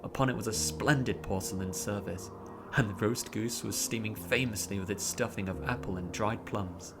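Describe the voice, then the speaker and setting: A man narrating a story in a dramatic, expressive audiobook style, drawing out words theatrically. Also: on the low side, moderate pace, gravelly, background noise. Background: music behind the voice.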